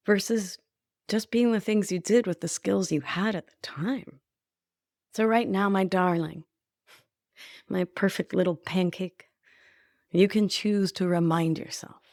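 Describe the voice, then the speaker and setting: A woman's voice: medium pitch, no room sound, studio quality. A woman from Argentina, a life coach hosting her own podcast, teaching in a playful, affectionate way. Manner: playful, affectionate, laughs often.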